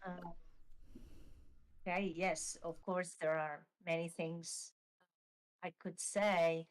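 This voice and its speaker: An Italian-accented woman, Italian by mother tongue, speaking slowly and carefully in English. Her voice sits somewhat low, and she pauses often with fillers and restarts as she gathers her thoughts. She is heard over a video call during a live Q&A. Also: level tone. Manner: hesitant, many fillers and restarts. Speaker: author. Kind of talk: live online Q&A.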